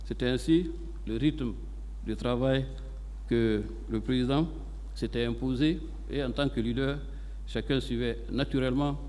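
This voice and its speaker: A man gives formal court testimony in a measured, deliberate way, pausing between short phrases. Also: medium pitch, darkish tone. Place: large courtroom hall. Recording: reverberant hall, long echo.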